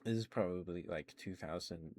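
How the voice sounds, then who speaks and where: A young man with a very deep, low voice talks quietly for an ASMR stream. He meanders through his thoughts with frequent ums, restarts and small chuckles. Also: normal speed. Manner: meandering, many fillers and restarts, chuckles often. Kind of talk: soft-spoken ASMR rambling.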